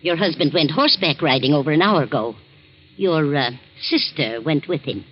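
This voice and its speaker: A woman's voice: deep voice, animated, normal speed. A woman playing a concerned, deferential household servant in 1940s radio drama style. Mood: careful, deferential. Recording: muffled vintage 1940s radio recording.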